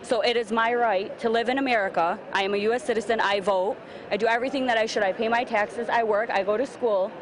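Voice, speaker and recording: A young woman from Lebanon gives an earnest, indignant but measured answer in the street. The clamor of a rally crowd sits clearly under her voice. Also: on the high side, fairly even intonation. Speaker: passer-by being interviewed. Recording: crowd noise of an outdoor rally.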